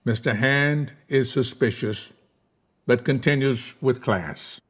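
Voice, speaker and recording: A man's voice: medium pitch, slow, a bit bright. Man narrating screenplay stage directions. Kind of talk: scripted narration at a live table read.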